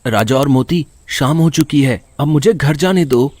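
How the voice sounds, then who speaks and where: Man voicing a high-pitched, earnest village orphan boy. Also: measured pace, a little mellow. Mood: friendly, urging. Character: lonely, gentle seven-year-old orphan village boy. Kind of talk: Hindi animated story voice acting.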